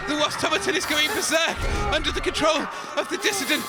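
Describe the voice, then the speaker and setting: Man playing very high-voiced flustered comic adventurer. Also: fast, over loud music. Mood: panicked commentary. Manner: stammering, breathless, theatrical. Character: flustered, excitable comic male adventurer and commentator. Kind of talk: comic audio drama.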